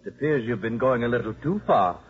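Actor as stern, animated church official, old radio. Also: male voice, medium pitch, quick pace, natural voice. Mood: stern, disapproving. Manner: brusque, formal. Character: stern, blunt, impatient male church official. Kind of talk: 1950s radio drama acting. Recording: muffled 1950s radio, faint noise.

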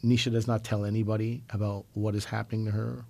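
Radio host, man, lowish voice, calm and measured interviewer. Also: gravelly. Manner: calm, steady questioning with brief affirmations.

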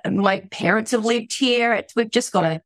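A woman's voice: fairly high pitch, normal speed, no room sound. A middle-aged woman with an Australian accent, a podcast guest talking in a chatty way, often restarting and saying "you know". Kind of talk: conversational interview.

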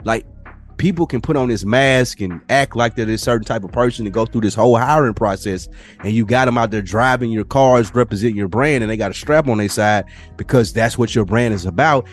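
Podcast co-host, young adult man, mid-pitched, easygoing, animated, faint music underneath. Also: fairly quick. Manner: animated storyteller, mimics people in higher voices. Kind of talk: casual chat.

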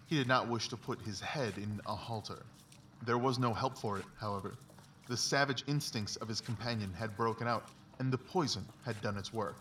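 A man playing a brilliant, confident, philosophical detective in a dramatized audiobook, explaining things in a measured, self-assured way. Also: fairly low pitch, a bit bright, far from the mic, slight background noise, natural voice. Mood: confident, analytical.

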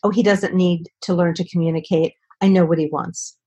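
A woman speech and language specialist, speaking as an interview guest and explaining with calm reassurance. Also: lowish voice, brisk, breathy edge, lo-fi sound. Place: Southern California.

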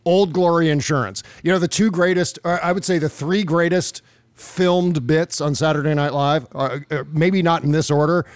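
An American man with a slightly high voice co-hosts a casual political show. He talks in a joking, irreverent way. The sound is dry, with little room in it. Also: fairly even intonation, normal speed, clean tone, no room sound. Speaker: podcast co-host. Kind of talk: casual political talk with clips and quoted articles.

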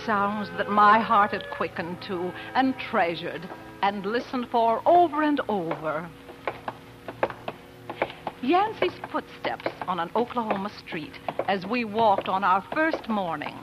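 An actress playing a spirited, proper young pioneer wife who also narrates an old-time radio drama, with genteel, emotive delivery. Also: female voice, medium pitch, lively intonation, takes it slowly, music in the background, poor audio. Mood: wistful, reminiscing.

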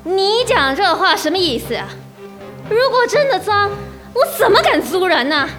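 A woman's voice: very high-pitched, very animated, normal speed, soft background music, exaggerated voice. A woman voicing an old blind landlady in a student radio drama, frail and chatty but scheming underneath. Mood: indignant. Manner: shifts from frail chatter to sharp indignation. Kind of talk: amateur radio drama acting.

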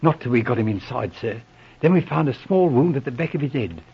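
A deferential butler in an old radio mystery. His voice is husky and subdued, his speech servile and plain. The recording is dull and dated. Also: medium pitch, expressive, brisk. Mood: subdued, grave. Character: deferential, shaken butler giving testimony. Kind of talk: 1940s radio drama acting.

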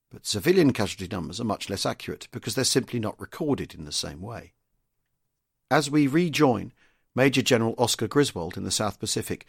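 A man's voice: medium pitch, gravelly, breathy edge, no echo, studio quality. A man giving scripted history narration as the narrator of a podcast.

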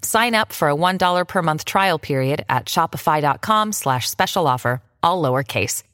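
A woman with a deep voice reads a scripted commercial playfully. Her intonation is very lively, and the sound is dry and close. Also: normal speed, dry recording. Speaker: advert announcer.

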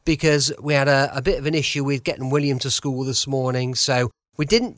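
A man's voice: a little high, a bit bright, no room sound, studio quality. An English-accented man hosting a podcast from home, chatty and enthusiastic as he introduces the show. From United Kingdom. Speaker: podcast host. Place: home, close dry microphone.

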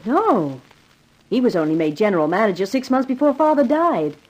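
A woman's voice: medium pitch, very animated, moderate pace, a little mellow, poor audio. An actress playing a composed, businesslike female boss in a 1950s radio detective drama.

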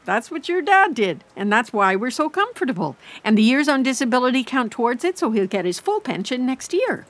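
Anxious, chattering middle-aged mother, high-pitched. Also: sing-song, brisk, few pauses, no room sound. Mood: concerned, chatty. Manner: fussing, rambling, hesitant when defensive. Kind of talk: naturalistic audio drama dialogue.

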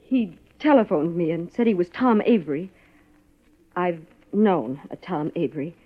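A woman's voice: on the low side, unhurried. An actress playing an anxious, guarded married woman in a 1950s radio detective drama, speaking formally and dramatically. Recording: muffled old radio recording.

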